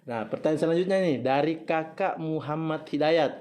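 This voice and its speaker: A man's voice: a little high, moderate pace, a little mellow. Chatty young man hosting campus radio. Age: young adult. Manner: chatty, reads listener questions aloud, prompts guests. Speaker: radio talk show host. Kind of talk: student campus radio talk show.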